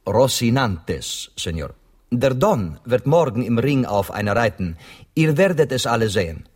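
An actor playing a suave, sardonic Spanish gentleman guide in a German radio play, florid and ironic. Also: mid-range voice, sing-song, measured pace.

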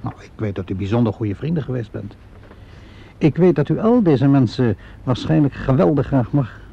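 Police inspector, gravelly, calm, probing, measured and polite, radio play, muffled old recording. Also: male voice, medium pitch. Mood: measured, persistent. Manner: measured, polite, methodical questioning. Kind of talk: Dutch radio play acting.

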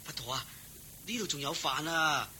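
Humble excitable cowherd servant, cantonese hong kong radio drama, higher sharp voice, bit of noise. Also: male voice, moderate pace. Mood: eager, generous. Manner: eager, deferential, exclamatory. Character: eager, humble, good-hearted male cowherd servant.

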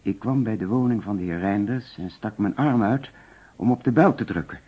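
A cornered, evasive suspect in a detective radio drama. His low voice is very husky and he speaks hesitantly and defensively, doubling back on his words. The old recording sounds dull. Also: animated, moderate pace. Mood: hesitant, uneasy. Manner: hesitant, defensive, repeats himself. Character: nervous, evasive male suspect under police questioning. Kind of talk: radio play acting.

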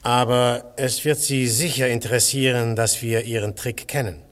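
An actor in a radio play playing an urbane philosophical detective, speaking with dry, polite irony. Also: male voice, medium pitch, fairly even intonation, moderate pace, natural voice. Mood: coolly revealing. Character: urbane, ironic philosophical detective, coolly teasing. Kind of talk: German radio play acting.

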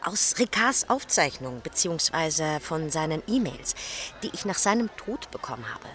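An actress playing a composed, sharp-witted woman investigator in a radio crime drama, conversational and matter-of-fact. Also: deep voice, big pitch swings, moderate pace. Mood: serious, explanatory. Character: composed, sharp-witted female colleague investigating restaurant fires.

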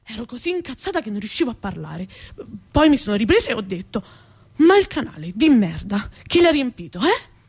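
A woman's voice: fairly high pitch, sing-song, a little fast, a little mellow, husky, full character voice. A woman performing a comic theatrical monologue as an indignant, sarcastic, foul-mouthed female rat who sees herself as a proper lady. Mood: furious, scolding. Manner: ranting, sarcastic, emphatic.

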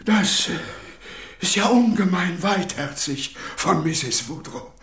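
An actor playing a pompous, evasive clinic chief in a crime radio drama, speaking in a formal, halting way. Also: male voice, high-pitched, expressive, a bit bright, roomy sound, exaggerated voice. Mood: evasive, uneasy. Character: pompous, evasive male head doctor of an elite clinic.

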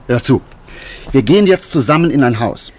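An actor playing a self-assured, bossy detective in a German radio crime drama, with a dry, ironic manner. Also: male voice, mid-range voice, expressive, normal speed, a little mellow, lightly acted. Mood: bossy, impatient. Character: wry, self-assured male detective, dryly teasing, bossy.

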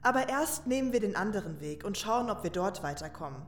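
A woman's voice: mid-range voice, animated, a little fast, background noise, close to an everyday voice. A woman playing a reluctant, irritated female thief in a fantasy audio drama, with a grudging, complaining delivery. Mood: annoyed, reluctant. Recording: roomy echo, music behind.

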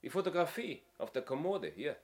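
A man's voice: fairly high pitch, very animated, a little fast. An actor playing an inspector's observant male assistant in a 1970s radio play, speculating in a dry, wry manner. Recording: noisy old radio play recording.

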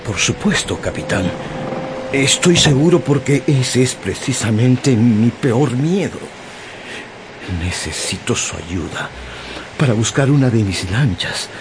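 A man's voice: medium pitch, expressive, slow pace, background noise. An actor playing a desperate older ship captain begging for help to find his son, in a radio drama. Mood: pleading. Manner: pleading, emotional, voice swinging wildly. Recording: radio drama with music bed. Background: music score.

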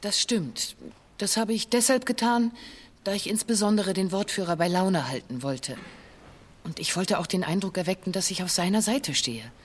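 A woman playing a former hostage giving a formal, measured witness statement in a radio documentary. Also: medium pitch, slightly rough, lightly acted. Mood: matter-of-fact. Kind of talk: scripted testimony in a radio documentary.

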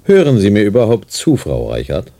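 Deep gravelly male voice, condescending clinic doctor, scheming, swooping intonation, radio drama. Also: wildly swooping pitch, a little fast, mellow, a little hiss or hum. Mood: condescending, evasive. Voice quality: gravelly, husky. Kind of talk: German radio play acting.

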